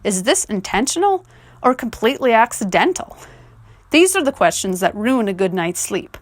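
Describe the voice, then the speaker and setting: Female essayist, higher-pitched and very lively, articulate scripted commentary, close dry recording. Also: normal speed, no room sound. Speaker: video essayist.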